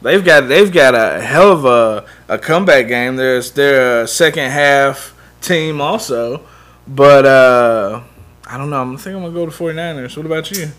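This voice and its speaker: Bright-voiced man telling stories. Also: medium pitch, moderate pace. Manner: animated storytelling, laughs often. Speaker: podcast co-host. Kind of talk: casual chat.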